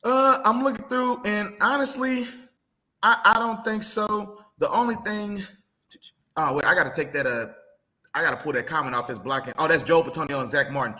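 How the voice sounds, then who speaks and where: A man co-hosting a casual sports podcast, animated and opinionated, often saying "you know what I'm saying.". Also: a little high, normal speed.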